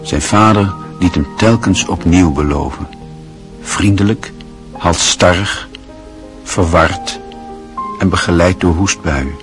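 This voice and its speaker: A man narrating a literary radio drama in a measured, grave, reflective way. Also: deep voice, music in the background.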